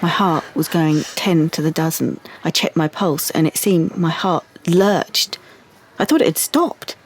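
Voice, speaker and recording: An actress playing an anxious, wounded young woman in an audio drama, confiding haltingly and stumbling over her words. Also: deep voice, slight background noise. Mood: shaken, recounting. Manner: halting, confiding, with stammered restarts. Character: anxious, wounded young woman artist, defensive about privacy. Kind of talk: audio drama monologue and dialogue.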